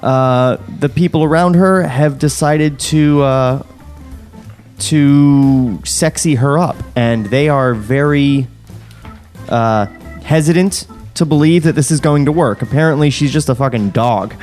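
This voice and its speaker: A man's voice: medium pitch, unhurried, darkish tone. A man hosts a casual podcast with music playing faintly behind him. He recaps in a stop-and-start way, dropping sarcastic, foul-mouthed asides in a mid-range voice. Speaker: podcast host recapping a script. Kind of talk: casual chat, joking recap. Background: music bed.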